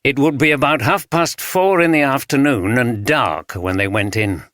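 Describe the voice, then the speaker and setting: A man voicing a calm senior detective who speaks gently and patiently. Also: mid-range voice, dry recording, clean studio sound, voice pushed up, close to an everyday voice. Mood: gentle. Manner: gentle, reassuring, measured.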